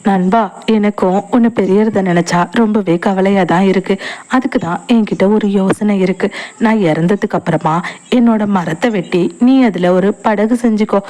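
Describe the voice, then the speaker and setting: A woman voicing a wise, kindly talking apple tree in a cartoon storybook, solemn and loud with friendly warmth. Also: mid-range voice, moderate pace, lightly acted, poor audio.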